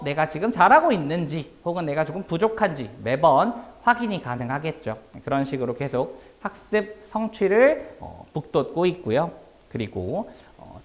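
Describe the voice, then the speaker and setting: A man with a slightly hoarse, worn voice, presenting a class as an academy instructor in a polite, explanatory manner. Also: a little high. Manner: polite, explanatory, formal Korean speech.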